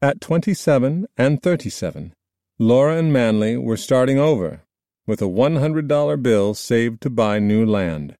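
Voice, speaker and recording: Mellow man narrating, dry studio sound. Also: medium pitch, animated, normal speed, dry recording. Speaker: audiobook narrator. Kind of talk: scripted nonfiction narration.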